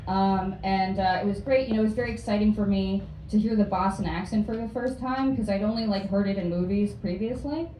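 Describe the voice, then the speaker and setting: A young woman from Florida doing a hesitant, deadpan stand-up set, full of ums and likes. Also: mid-range voice, a little fast, hardly any pauses. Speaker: stand-up comedian. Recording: distant, echoey club with noise.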